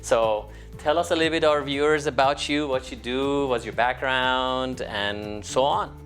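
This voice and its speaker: A man's voice: medium pitch, a little slow, a bit bright, faint music behind. A man hosting a design show, presenting and interviewing in an effusive, complimentary way. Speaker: TV show host.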